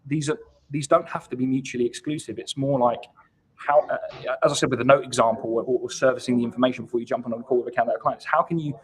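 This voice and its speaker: A man with a husky voice explaining his points in a conversational business interview, emphatic and often repeating words as he builds them. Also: mid-range voice, steady tone, fast talker. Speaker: guest account executive at a software company. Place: online live video call.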